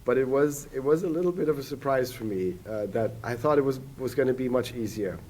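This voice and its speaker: A man's voice: medium pitch, a little fast, warm tone, a little hiss or hum. A man in his forties, a native German speaker, telling his story as a podcast guest in a rambling way, with many fillers and restarts. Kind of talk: casual podcast conversation.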